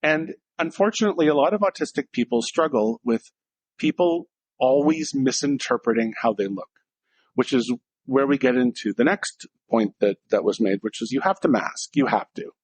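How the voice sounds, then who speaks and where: A man's voice: medium pitch, moderate pace, husky, no room sound, poor audio. Blunt man co-hosting a podcast. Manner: direct and blunt, acting out little dialogues in different voices. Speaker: podcast co-host. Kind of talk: casual conversational podcast.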